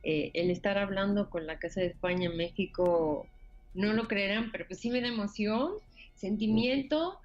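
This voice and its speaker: A woman's voice: medium pitch, moderate pace. A woman from Spain with a Mexican accent, an actress guest in a radio interview, speaking emotionally and hesitantly with many fillers. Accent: Mexican Spanish. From Spain (Granada). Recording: muffled lo-fi recording.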